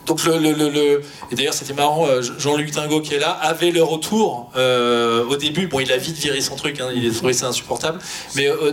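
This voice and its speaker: A man speaking as a sound engineer on a panel, explaining technical work informally and loosely. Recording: live panel with clear background noise, low rumble.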